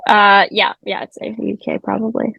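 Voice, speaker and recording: A chatty teenage woman, guesting on an interview call. Her voice has a gravelly fry at the ends of phrases. The sound is dry and close, with no room around it. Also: medium pitch, little pitch movement, moderate pace, dry recording, highs cut off. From South Africa, raised in Vancouver. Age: late teens. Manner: chatty, upbeat, lots of fillers. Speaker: guest magician. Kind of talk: casual chat. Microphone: remote call.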